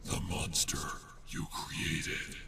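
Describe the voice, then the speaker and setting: A man voicing a menacing, accusing monster in a horror story with a breathy, sinister whisper. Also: extremely slow, pushed and bright.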